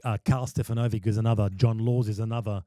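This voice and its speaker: A man talks about his work in an emphatic, passionate way, stumbling over repeated words before each point lands. His voice is mellow and dark, and it is recorded close and dry with almost no room sound. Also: lowish voice, steady tone, fast talker, no echo. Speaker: podcast guest, wedding MC. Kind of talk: casual podcast chat.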